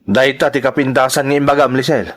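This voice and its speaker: A man's voice: mid-range voice, steady tone, fairly quick, a bit bright, lightly acted. A man with an Ilocano accent playing a selfish, argumentative young husband in an audio drama. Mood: mocking. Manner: argumentative, goading. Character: selfish, scornful young husband, irresponsible drinker.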